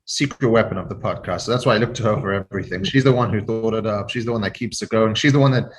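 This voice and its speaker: Low-voiced man in his thirties. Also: quick pace, slight background noise. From born in South Africa, grew up in London. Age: 30s. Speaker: interview guest, casino chief executive. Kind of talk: casual conversational interview talk.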